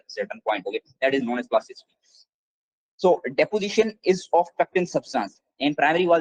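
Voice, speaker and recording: A man's voice: mid-range voice, slightly rough, no room sound, rough recording. A man giving a live online biology lecture from his desk. He drills each point by repeating it, and his English phrasing is slightly non-native. His computer mic sounds dry and close, with no room sound at all. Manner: repetitive, drilling key points. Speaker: exam prep tutor.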